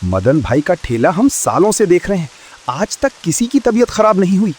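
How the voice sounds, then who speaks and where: An actor playing a level-headed villager in a cartoon moral story, firm and commanding. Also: male voice, high voice, very animated, normal speed, a little mellow, husky, full character voice. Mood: firm reassurance. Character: level-headed village man calming a mob. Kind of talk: dramatic Hindi moral-story cartoon acting.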